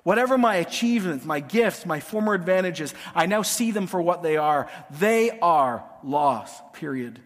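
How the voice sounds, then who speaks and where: A man preaching a sermon, emphatic and dramatic, with pauses and swings between hushed and forceful delivery. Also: a little high, animated. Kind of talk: sermon, expounding scripture with dramatized paraphrase. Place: large reverberant hall, preaching to a congregation. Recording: long hall reverb.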